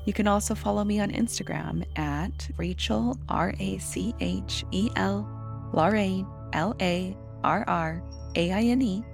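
A woman host reading a scripted podcast outro with credits in a measured way. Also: mid-range voice, gravelly. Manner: measured read-through of credits. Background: music bed.

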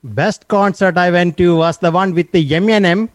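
A man's voice: sits high, moderate pace, dry recording, studio quality, pitched above the performer's own voice, lightly acted. A man doing a playful, heavy Indian accent as a joking persona in an interview. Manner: playful, deadpan jokes. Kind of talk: comic interview.

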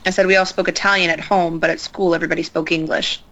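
An actress playing a reserved woman scientist who gives calm, terse instructions over a radio link. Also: on the low side, fast talker, highs cut off. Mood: guarded. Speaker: scientist guiding a spacewalk. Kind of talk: audio drama acting.

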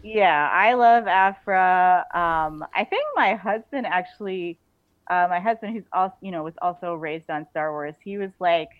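A woman with a fairly low voice talks as an interview guest in a casual, enthusiastic way. Her audio is narrow and phone-like, with very little room sound around it. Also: measured pace, dry recording. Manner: enthusiastic, informal.

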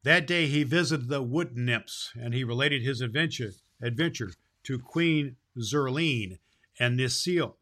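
A man reads a children's tale aloud as Santa Claus. His voice is bright and forceful at a middling pitch, and he leans into the words with dramatic emphasis. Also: a little slow. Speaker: children's story reader presenting as Santa Claus. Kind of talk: storybook read-aloud for children.

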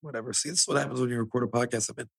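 Young man, quick-talking, rough husky voice, cocky teasing podcast co-host. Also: mid-range voice, fairly even intonation, a bit bright. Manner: cocky, teasing, swears freely. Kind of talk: casual joking banter.

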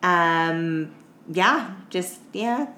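A woman's voice: fairly low pitch, animated, drawn-out words, sharp tone. A tired woman co-hosting a casual podcast, chatting in a candid, informal, jokey way. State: tired after staying up all night. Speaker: podcast co-host. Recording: roomy echo, clear background noise.

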